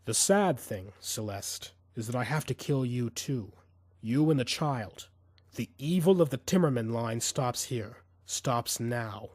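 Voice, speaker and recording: A man's voice: mid-range voice, animated, dry recording. Man acting a menacing, vengeful assassin, swinging intonation. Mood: cold menace. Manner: menacing, taunting, deliberate. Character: cold, vengeful male assassin with simmering rage.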